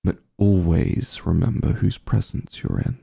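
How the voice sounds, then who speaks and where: Evil sorcerer king , male actor, ASMR roleplay: haughty, imperious, slow and gravelly. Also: sits low, steady tone, mellow, exaggerated voice. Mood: haughty, stern. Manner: pompous, condescending, deliberate formal diction.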